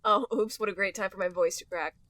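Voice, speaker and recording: A woman's voice: medium pitch, quick pace, a bit bright, no echo. A woman co-hosting a comedy podcast, chatting casually and giggly as she reacts to the reading. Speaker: podcast co-host.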